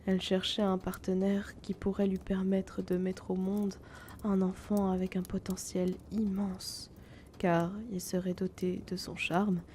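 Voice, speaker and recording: Timid young potion-seller man, female performer: slow, mellow, soft and confiding, fantasy audio drama. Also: lowish voice, a little hiss or hum. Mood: bitter, subdued. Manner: soft, hesitant, confiding, often breathy.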